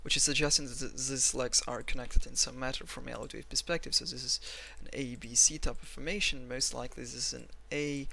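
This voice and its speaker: A man with a Russian accent walks through market charts at his desk, rambling and self-mocking. His voice is gravelly and bright, recorded on a desk mic. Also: medium pitch, steady tone, a little fast, few pauses. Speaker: trading analyst. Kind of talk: screen-recorded chart analysis.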